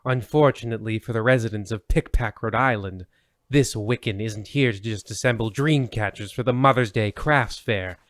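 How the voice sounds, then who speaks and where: A man's voice: on the low side, moderate pace, a bit bright, dry recording, natural voice. Hammy horror radio host, dry sound played by a man. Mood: ominous. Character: hammy, ominous horror anthology host, comically flustered. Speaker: host and narrator. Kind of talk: old-time radio horror introduction parody.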